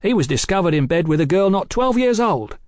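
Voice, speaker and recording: A man's voice: sits high, sing-song, fairly quick, darkish tone, dry recording, clean studio sound, voice pushed up. A man voicing a suspicious, hostile political thug in an audiobook, blunt, challenging and coarse-mouthed. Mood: scornful, flat denial. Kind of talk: audiobook character acting.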